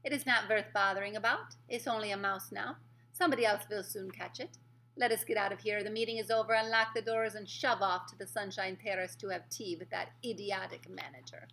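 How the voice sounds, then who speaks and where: A woman voicing a cruel, bossy Grand High Witch in a storybook read-aloud, imperious and full of scornful insults. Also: a little slow, natural voice, poor audio. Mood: scornful shouting.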